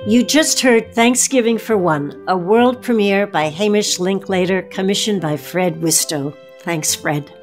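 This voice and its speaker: A woman's voice: medium pitch, unhurried, hardly any pauses, music in the background. A woman hosting a radio show, presenting credits and putting questions to guests. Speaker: host.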